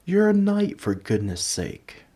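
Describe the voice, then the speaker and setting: A man playing a large, ancient, nerdy dragon in an ASMR roleplay, polite and earnest but a little huffy, with a soft voice. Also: high-pitched, very animated, unhurried, husky, full character voice. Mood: offended, huffy. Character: large, ancient, nerdy gentle male dragon, politely indignant coin collector. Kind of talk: ASMR roleplay audio drama.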